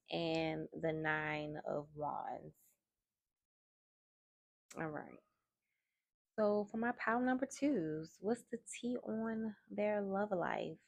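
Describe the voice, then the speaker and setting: A soft-spoken woman doing a tarot card reading, talking in a slow, musing way. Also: fairly low pitch, creaky, no echo, dull audio. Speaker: tarot reader. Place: indoors at a table.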